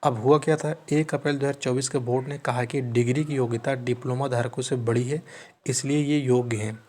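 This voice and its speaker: A man with a mid-pitched voice explains recruitment news. He reads aloud, then breaks it down in a teacherly manner, often restating points and checking that listeners follow. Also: steady tone, brisk, darkish tone. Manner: repeatedly rephrases and checks understanding, teacherly. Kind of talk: informal explainer, reading news aloud and commenting.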